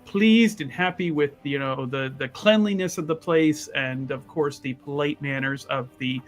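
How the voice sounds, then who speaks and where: A man's voice: a little high, moderate pace. A man playing a tabletop roleplaying game, improvising casually with wordy, playfully formal turns of phrase.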